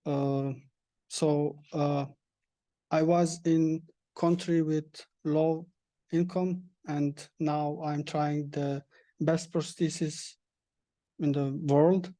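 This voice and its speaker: A young man from Bosnia, speaking as a panel guest with many pauses and restarts. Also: a little high, fairly even intonation, mellow, no room sound. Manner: halting, many hesitations and restarts. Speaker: panel guest, prosthesis user. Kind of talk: panel discussion answers.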